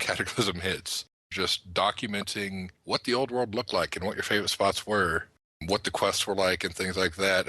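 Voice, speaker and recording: Deep-voiced man with a bright edge. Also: dry recording. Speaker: podcast panelist. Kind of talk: casual gaming panel discussion.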